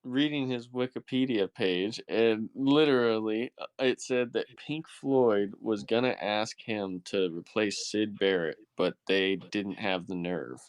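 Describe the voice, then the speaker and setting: A man's voice: fairly low pitch, unhurried, few pauses, clean tone, no room sound. A man chatting casually as a podcast host. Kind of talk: casual chat.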